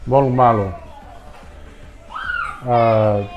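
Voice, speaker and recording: Slow, hesitant man guessing word meanings. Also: mid-range voice, steady tone, highs cut off, faint music behind. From Tonga. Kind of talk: casual family game.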